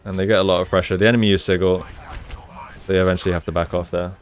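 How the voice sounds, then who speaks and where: A man's voice: sits low, flat delivery, very fast, faint music behind. A man giving conversational, explanatory commentary on video game play, as a coach breaking down each move. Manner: explanatory, conversational, often checks with "right?". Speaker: gaming coach, analyst.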